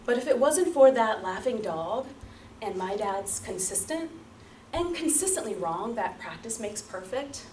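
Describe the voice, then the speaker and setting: High, animated woman storyteller in echoey room. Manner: theatrical, emphatic, with deliberate repetition and pauses. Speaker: public speaker at a speaking club. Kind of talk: rehearsed dramatic storytelling speech to an audience. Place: room before an audience. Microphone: distant microphone. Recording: roomy, distant mic, light background noise.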